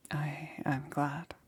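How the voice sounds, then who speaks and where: A woman playing a lonely, gently possessive witch in an ASMR roleplay, speaking in a soft, hesitant, breathy murmur that often drops into a whisper. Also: low voice, heavily acted. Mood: gently probing.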